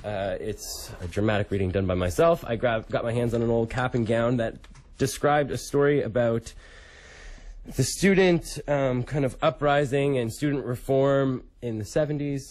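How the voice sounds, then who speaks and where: A man's voice: medium pitch, moderate pace, a little mellow. A young adult man from Canada speaking as a radio show host in a studio. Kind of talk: casual radio talk and dramatic reading.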